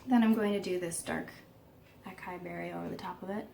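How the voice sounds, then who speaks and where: A woman giving a casual makeup tutorial, chatty and self-deprecating, a bit croaky today, filming herself at home. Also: lowish voice, fairly even intonation, brisk, warm tone, slight background noise. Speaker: beauty vlogger.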